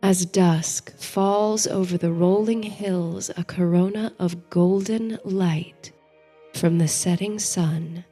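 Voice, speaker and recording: Female sleep-story narrator: soothing and dreamy, very unhurried, lowish mellow voice, faint music underneath. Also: breathy edge. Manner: soothing, measured, dreamy delivery. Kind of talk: bedtime story narration, guided meditation. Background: soft music.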